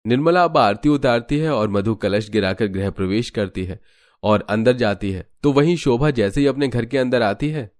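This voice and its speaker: A man's voice: mid-range voice, animated, moderate pace, a little mellow, no room sound, studio quality, faint music behind. A man narrating a scripted cartoon story as a storyteller.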